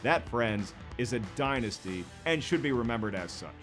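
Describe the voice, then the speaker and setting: A man's voice: mid-range voice, lively intonation, normal speed, fairly bright tone, soft background music. A man in his forties with an American accent, hosting with an emphatic, jokey, scripted comedic narration. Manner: emphatic, wry, jokey delivery. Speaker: host.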